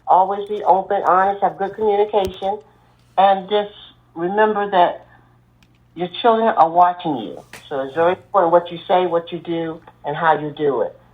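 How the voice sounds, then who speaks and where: Earnest woman, fairly low voice, tinny phone line, advising, steady. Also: normal speed. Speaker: guest, mother and church deacon. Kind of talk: guest answer on a podcast.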